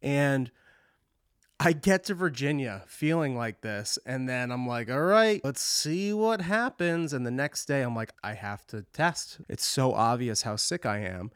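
A man's voice: mid-range voice, animated, measured pace, clean tone, no echo, clean studio sound. Congested man in a rambling monologue. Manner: rambling and candid, swearing often. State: congested from a cold. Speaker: touring musician and podcast host. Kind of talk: casual monologue.

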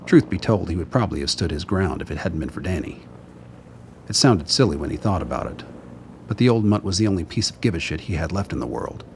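Very deep male radio-play narrator. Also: expressive, fast, gravelly, a little mellow, a little hiss or hum. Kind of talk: scripted dramatic story narration. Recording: background ambience under narration.

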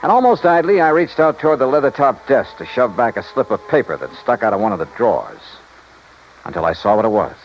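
A man's voice: medium pitch, expressive, a little fast, a little hiss or hum, lightly acted. A man playing a skeptical private investigator in an old radio detective drama, with a wry, clipped way of questioning and narrating. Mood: wry, brooding. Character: hard-boiled, skeptical male private investigator, dryly probing. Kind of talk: 1950s-60s radio detective drama, first-person narration. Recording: dull old radio recording, music behind.

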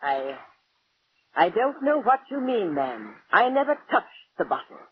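An actress playing a timid, flustered household maid in 1940s radio drama style. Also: female voice, mid-range voice, very animated, unhurried, narrow, tinny audio. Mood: nervous, hesitant. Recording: thin, noisy vintage radio recording.

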